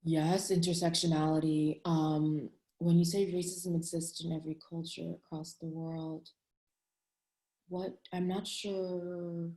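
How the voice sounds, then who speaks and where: A woman's voice: on the low side, little pitch movement, measured pace, mellow. A young woman talking candidly about her own experience on a laptop video call, chatty and self-aware. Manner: candid, chatty, laughs at herself. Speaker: panelist. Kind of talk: personal reflection on a video call. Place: living room, indoors. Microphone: laptop webcam video call. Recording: echoey room on a video call.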